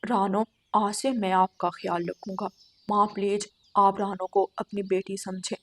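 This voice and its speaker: A woman's voice: mid-range voice, flat delivery, a little slow. A woman voicing a caring, protective young husband sparrow who speaks earnestly in a cartoon story. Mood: protective, pleading.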